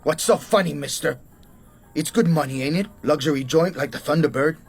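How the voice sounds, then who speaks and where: Blunt, menacing American gangster. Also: male voice, on the high side, fairly quick, gravelly, slightly rough, soft background music. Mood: prickly, threatening. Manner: blunt, bossy, threatening. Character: menacing American gangster assassin, short-tempered hotel owner. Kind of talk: radio drama acting.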